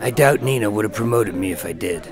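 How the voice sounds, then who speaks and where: A man's voice: on the low side, expressive, quick pace, a crowd in the background, close to an everyday voice. A man acting as a terse, guarded undercover agent in a radio drama, understated in delivery.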